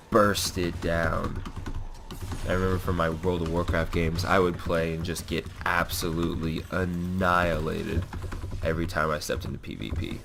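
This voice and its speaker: A man's voice: low voice, creaky, fairly bright tone, a little hiss or hum. A young man with a cold, giving rambling casual commentary on his own gameplay, with lots of fillers and self-corrections. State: has a cold.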